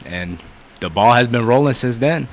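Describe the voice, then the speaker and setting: A man from Ukraine and Armenia, a boxer, speaking as a guest in a calm, agreeable way. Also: medium pitch, moderate pace. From born in Ukraine, raised partly in Armenia. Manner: calm, agreeable, short affirmations. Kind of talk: casual interview chat.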